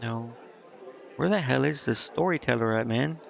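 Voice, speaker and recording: Male game streamer, gravelly mid-pitched voice, rambling casual commentary, lo-fi mic. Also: moderate pace. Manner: rambling, thinking out loud. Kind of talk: casual gameplay commentary, reading game dialogue aloud. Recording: muffled mic with clear background noise.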